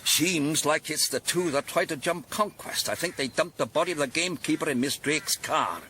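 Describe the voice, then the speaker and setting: An actor playing a dogged police detective in a radio drama, plain-spoken, deferential and clipped. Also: male voice, on the high side, normal speed, sharp tone, a little hiss or hum. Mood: grave, puzzled. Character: dogged police detective reporting to his superiors.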